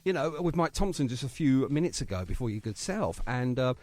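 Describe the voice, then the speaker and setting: A man's voice: medium pitch, big pitch swings, a little fast, a little mellow, dry recording. A man hosting a radio show in casual interview chat, talking in a chatty, enthusiastic and effusive manner.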